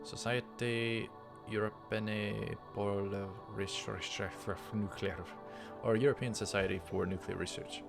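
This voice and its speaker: Low-voiced gravelly man reading over music. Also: steady tone, measured pace, few pauses. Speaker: let's play host. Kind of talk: reading visual novel text aloud with casual asides. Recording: music behind the voice. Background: game music.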